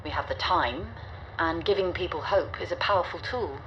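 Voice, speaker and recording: An actress playing a weary but resolute leader of a stranded spaceship, recording a solemn, measured audio log for a game. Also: female voice, on the low side, a bit bright, dull audio. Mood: resolute, pragmatic. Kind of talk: dramatic scripted audio log. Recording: band-limited, noisy ship audio log.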